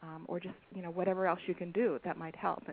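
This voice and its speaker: A soft-spoken woman, an author, being interviewed over the phone, speaking hesitantly with many pauses and ums. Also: lowish voice, level tone. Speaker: author and guest. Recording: tinny phone line with faint hiss.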